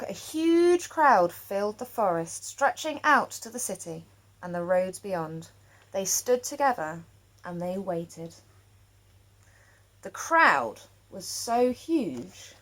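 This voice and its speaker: Expressive woman reading a children's story. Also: medium pitch, poor audio. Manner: expressive, dramatic pauses. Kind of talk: children's picture book read-aloud.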